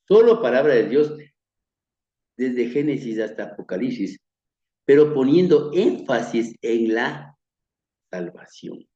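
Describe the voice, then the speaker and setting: Slow, earnest Ecuadorian man teaching scripture. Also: medium pitch, roomy sound, highs cut off. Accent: Ecuadorian, from Quito. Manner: measured and earnest, repeats words, trails off softly at phrase ends. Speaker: Bible teacher. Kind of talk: slow sermon-like slideshow lecture.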